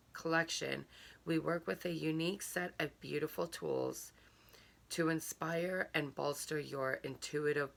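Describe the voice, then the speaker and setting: A woman with a hoarse, gravelly voice talks slowly through an unboxing. She pauses often on fillers. Her tone is bright and sharp despite the rasp. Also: low-pitched. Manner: halting, many fillers. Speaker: subscription box reviewer. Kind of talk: casual unboxing chat, reading a card aloud.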